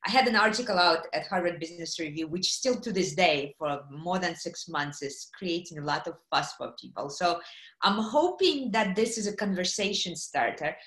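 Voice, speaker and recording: Middle-aged woman from Kazakhstan, guest speaker on a video call, lower voice, roomy echo. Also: normal speed. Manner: lively, fillers, asks the audience questions. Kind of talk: webinar presentation. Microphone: computer mic on video call.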